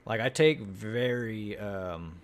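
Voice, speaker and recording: A man telling his vlog viewers about an acting class, talking in a flat monotone, swearing freely and restarting his sentences often. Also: fairly low pitch, slow. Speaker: acting student vlogger. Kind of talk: casual chat. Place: Houston, Texas.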